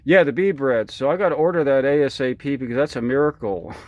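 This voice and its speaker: A man's voice: mid-range voice, fast talker, darkish tone, no echo. An American man chatting casually as an amateur radio operator, rambling along in a folksy, enthusiastic way. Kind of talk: casual ham radio chat. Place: indoors at a home radio station.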